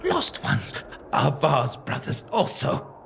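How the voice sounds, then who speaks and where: A man's voice: a little high, huge pitch swings, takes it slowly, gravelly, noisy background, voice pushed up, heavily acted. A man voicing a savage, childlike warrior in an audio drama, growling in broken, primitive speech. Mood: blunt, simple. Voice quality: growling, guttural. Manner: broken, primitive speech in short clipped sentences. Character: feral, childlike, savage male neophyte warrior, hulking and bloodthirsty. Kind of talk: dramatic audio story character acting.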